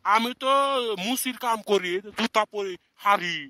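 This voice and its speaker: A man's voice: high voice, measured pace, pushed and bright, no echo. A man voicing a proud old village uncle in a comic cartoon, with a simple, plaintive way of talking. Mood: defensive. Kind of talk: comic Bangla cartoon dialogue.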